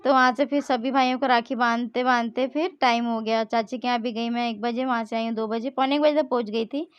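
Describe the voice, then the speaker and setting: A woman's voice: fairly high pitch, fairly even intonation, a little fast, smooth, no room sound, lo-fi sound. A young woman, chatting casually through her vlog and often correcting herself mid-sentence. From India. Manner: chatty, rambling, frequent self-corrections. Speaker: vlogger.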